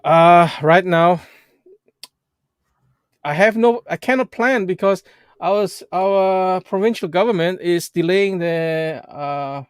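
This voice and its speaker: German-native man hosting a livestream, fairly high voice, dry close sound, halting and animated. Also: clean tone, no echo. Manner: halting, restarts and fillers, animated. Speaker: vlog host. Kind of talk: casual livestream chat. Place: Edmonton, Canada.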